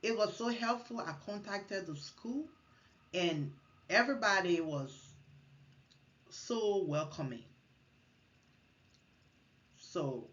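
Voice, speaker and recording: A woman's voice: fairly low pitch, lo-fi sound. A woman from Liberia, giving an earnest recorded class presentation, partly read and with small restarts. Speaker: student presenter.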